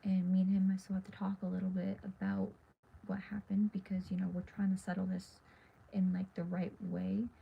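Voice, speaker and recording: Hushed, tired teen girl with a gravelly voice. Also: medium pitch, normal speed. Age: teens. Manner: hushed, half-whispered and rambling with many fillers. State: tired and losing her voice. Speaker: livestreamer. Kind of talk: casual late-night livestream chat. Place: dark bedroom at night. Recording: dull lo-fi phone audio with faint hiss.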